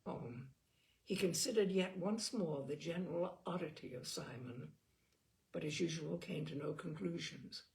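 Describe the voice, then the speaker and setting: A woman reading literary fiction aloud as an audiobook narrator, in an expressive, measured and articulate way. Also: sits low, creaky.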